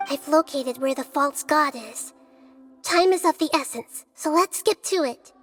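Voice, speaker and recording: Wise little girl goddess in a game, high-pitched, gentle, calm, sincere, measured articulate delivery, faint music underneath. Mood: thoughtful. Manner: measured, earnest, articulate explaining. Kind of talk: video game voice acting.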